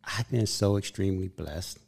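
A man's voice: low voice, moderate pace, vocal fry, darkish tone, husky. A man in his fifties hosting a podcast, telling stories in an earnest, upbeat way during a casual chat. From Southern California. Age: 50s. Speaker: podcast host.

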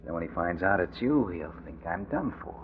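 An actor playing a sly, vengeful hired killer in a 1940s radio drama. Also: male voice, sits low, big pitch swings, a little fast, phone-quality sound. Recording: thin, noisy old radio recording.

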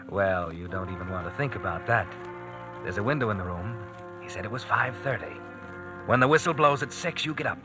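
An actor narrating a tense suspense thriller in old-time radio style, insistently addressing the hero. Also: male voice, medium pitch, lightly acted, rough recording. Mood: insistent, taunting. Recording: vintage radio recording with dramatic music.

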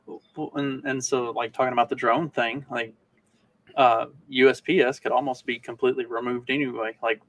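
A man's voice: medium pitch, little pitch movement, normal speed, fairly bright tone. A man from Kentucky co-hosting a podcast, talking in a rambling, colloquial, casual way with some swearing. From Kentucky, United States. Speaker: podcast co-host. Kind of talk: casual chat. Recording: distant from the mic.

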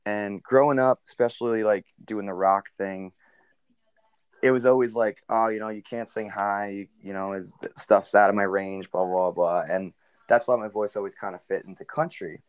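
A young man with a very low, smooth baritone voice tells a story in an easygoing interview. He rambles a little, leaning on ums and you-knows. The sound is close and dry. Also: normal speed, no room sound. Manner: relaxed, rambling with many fillers. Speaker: country singer, guest.

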